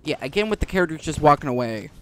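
A man's voice: fairly high pitch, lively intonation, rapid-fire, a bit bright, slight background noise. A man hosting a gaming video with casual, rambling commentary, often repeating himself. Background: game music.

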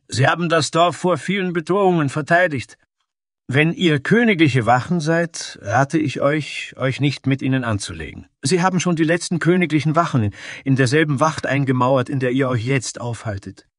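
A man's voice: on the high side, normal speed, dry recording, voice pushed up, natural voice. Man voicing an old dragonkin carpenter, dry close sound. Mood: warning. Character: old male dragonkin carpenter, fair, cautionary. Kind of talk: fantasy audio story character.

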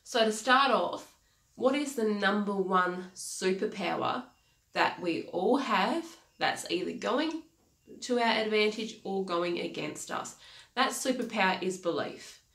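A woman's voice: medium pitch, animated, unhurried, gravelly, fairly bright tone. A woman in her thirties with a congested, nasal voice, giving an earnest motivational talk as a life coach. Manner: earnest, repeats key phrases, gestures with hands. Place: small home office, indoors. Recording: echoey room.

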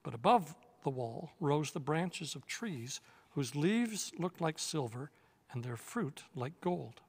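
An older man reading a children's novel aloud in a measured, expressive way, seated in an armchair on a studio set. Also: fairly high pitch, husky, big-room sound. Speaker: reader.

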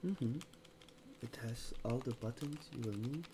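A young man plays a store clerk in a soft-spoken ASMR roleplay. He talks very slowly and quietly, in short phrases with pauses. The recording has clear background noise. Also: medium pitch. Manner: slow, hushed, with pauses. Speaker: ASMR creator playing a game store clerk. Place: small room at a desk, indoors.

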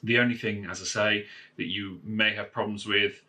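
Low bright-voiced man explaining a phone reset. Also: fairly even intonation, normal speed. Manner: rambling, many fillers and restarts. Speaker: phone repair tutorial presenter. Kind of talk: casual how-to walkthrough. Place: indoors at a wooden desk, filming a phone from above.

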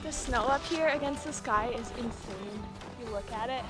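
A young woman in her twenties, a bit hoarse from losing her voice, chatting casually to a handheld camera on a snowy mountain trail. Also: on the high side, normal speed, music in the background. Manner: chatty, frequent "like" and "super". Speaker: hiking vlogger. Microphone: handheld action camera at arm's length.

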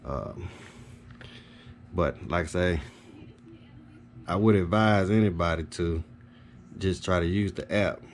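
A man's voice: deep voice, takes it slowly, pauses often. A man talks informally into a handheld camera in a small room. He rambles through a product update in a matter-of-fact way. There is a light layer of background noise on the recording. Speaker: HVAC technician and video host. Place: small carpeted home office, indoors.

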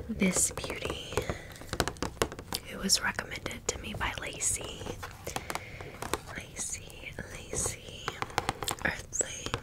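A woman's voice: medium pitch, low-quality recording. A woman hosting an ASMR video in a whisper, repeating words and phrases in a soothing way. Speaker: ASMR video creator and channel host.

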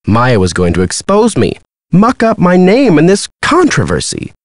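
A self-important young Hollywood heartthrob for an adventure game. He talks in a glib, showy way with very lively swings of pitch, recorded dry and close. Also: normal speed, no room sound, exaggerated voice. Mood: defensive. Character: vain smooth-talking young male movie star. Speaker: actor character in an adventure game. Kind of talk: video game voice acting.

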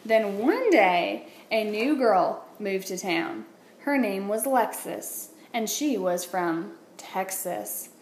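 A woman, a teacher, reading a children's picture book aloud with expressive, deliberate phrasing. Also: medium pitch. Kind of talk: read-aloud storytelling for children. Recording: roomy echo, light background noise.

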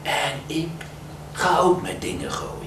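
A man's voice: on the high side, sing-song, takes it slowly, full character voice. A man voicing a sensitive male pig who cannot get angry, talking in a plaintive, easily hurt way. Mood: shouting. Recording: echoey room, mic far off, noisy.

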